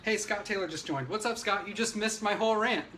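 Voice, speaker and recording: A man's voice: high voice, fairly quick. A man ranting unscripted on a livestream, brash, opinionated and emphatic, swearing freely as he talks to his viewers. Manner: emphatic, agitated delivery. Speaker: livestreamer giving political commentary. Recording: noisy livestream audio.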